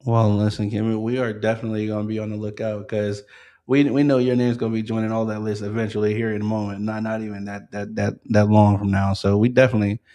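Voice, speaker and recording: A male podcast host with a lowish voice who talks quickly. He is laid-back, trips over his words, and restarts his sentences often. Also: little pitch movement, mellow. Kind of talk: casual podcast interview.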